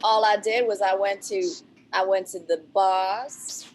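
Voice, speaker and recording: A woman's voice: mid-range voice, little pitch movement, measured pace, slight background noise. Playful, giggly woman improvising comedy. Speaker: guest.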